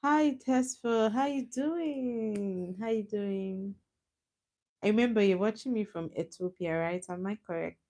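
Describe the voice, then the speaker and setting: A woman's voice: medium pitch, a little slow, mellow. A young woman with a Nigerian accent, hosting a casual live stream and chatting with viewers, teasing and laughing often. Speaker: livestream host.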